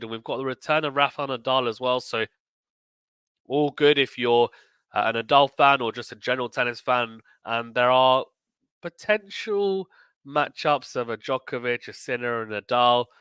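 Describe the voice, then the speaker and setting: A male sports host with a bright, forward voice and a close, dry sound. He talks in a chatty, emphatic way, thinking aloud through his commentary, with a slight croak from a recent illness. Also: mid-range voice, dry recording. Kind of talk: casual tournament preview commentary.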